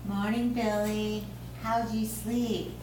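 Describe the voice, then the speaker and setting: A woman's voice: mid-range voice, flat delivery, slow, natural voice. A woman playing a sly, coaxing nine-year-old imaginary boy at an amateur script read. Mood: calm, smug. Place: echoey room, recorded from a distance. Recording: very echoey room, distant mic, hiss.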